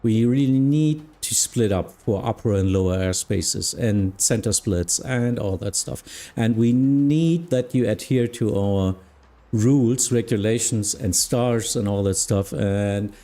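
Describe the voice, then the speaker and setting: Mellow-voiced German man, hesitant chat. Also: on the low side, measured pace. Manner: hesitant, searching for words, frequent restarts. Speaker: flight sim streamer and air traffic control mentor. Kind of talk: casual live-stream chat with viewers.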